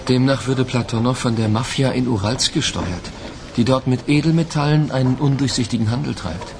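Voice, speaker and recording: An actor playing a serious police detective who reasons matter-of-factly in a German radio crime drama. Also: male voice, mid-range voice, noisy background, lightly acted. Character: male police detective colleague, analytical and serious.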